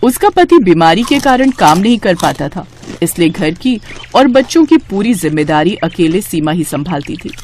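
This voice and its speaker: Female narrator, scripted moral tales, animated melodic delivery. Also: fairly low pitch, slight background noise.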